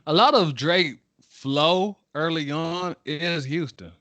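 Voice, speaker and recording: A man's voice: a little high, slow pace, a bit bright, no echo, lo-fi sound. Teasing man co-hosting, dry close sound. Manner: teasing, playful banter. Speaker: podcast co-host. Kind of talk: casual chat.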